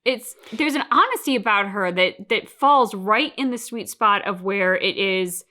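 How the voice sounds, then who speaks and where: A woman's voice: medium pitch, unhurried, bright, forceful tone. A woman co-hosting a reaction channel, talking through her thoughts casually and emphatically, with plenty of colloquial turns of phrase.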